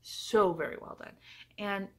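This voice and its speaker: A woman talks through book reviews in a casual vlog style, with a mid-pitched voice. She is effusive and emphatic, circling back to repeat phrases when she wants to stress a point. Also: steady tone, a little slow.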